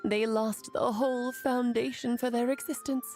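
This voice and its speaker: A woman's voice: fairly high pitch, steady tone, moderate pace, darkish tone, soft background music, natural voice. A woman voicing a smug, pedantic, irreverent know-it-all in a video game, lecturing in a theatrical, flippant way. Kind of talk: scripted video game exposition.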